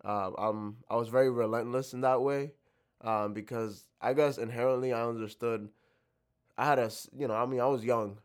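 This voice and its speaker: A man's voice: medium pitch, fairly even intonation, normal speed, smooth. A young man from the Dominican Republic answering interview questions reflectively, with many fillers and restarts. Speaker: interview guest.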